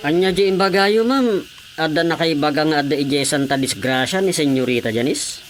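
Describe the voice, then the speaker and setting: An actor playing a polite household driver who is eager to take orders, in a radio drama. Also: male voice, a little high, normal speed, faint music behind, close to an everyday voice. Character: deferential male driver of a rich household. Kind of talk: Ilocano radio drama acting.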